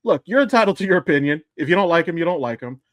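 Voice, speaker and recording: A man's voice: on the high side, animated, racing, fairly bright tone, no room sound, studio quality. A man hosting a casual podcast, giving his opinions bluntly and speaking straight to the listeners. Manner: blunt, sweary, addresses listeners directly. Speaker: podcast host.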